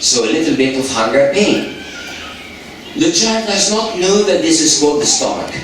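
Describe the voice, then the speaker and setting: A man with an Arabic accent, speaking Arabic as his first language, gives a church talk on parenting, speaking slowly and asking the audience questions. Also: fairly high pitch, expressive, fairly bright tone, far from the mic, a little hiss or hum. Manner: slow, repetitive, asks the audience questions. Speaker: lecturer on parenting. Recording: old echoey hall recording from afar.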